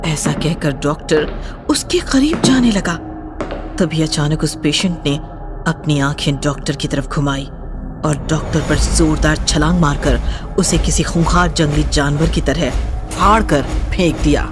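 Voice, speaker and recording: A woman's voice: deep voice, a little slow, music in the background. A woman giving dramatic scripted narration of a horror story.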